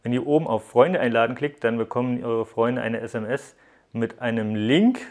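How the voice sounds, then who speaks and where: A man's voice: mid-range voice, moderate pace, darkish tone. Man giving a casual tech walkthrough. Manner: explanatory, addressing viewers directly. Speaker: app reviewer, host. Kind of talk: casual hands-on tech review narration.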